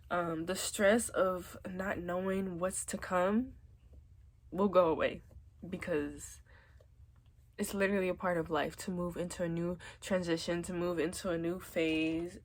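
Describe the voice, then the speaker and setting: A young woman talks to camera in a loose, chatty vlog, her voice husky and slightly breathy. A head cold leaves her nose stuffed up. She reassures the listener warmly, dropping frequent "like" and "literally.". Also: lowish voice. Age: young adult. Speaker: vlogger. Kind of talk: casual advice vlog.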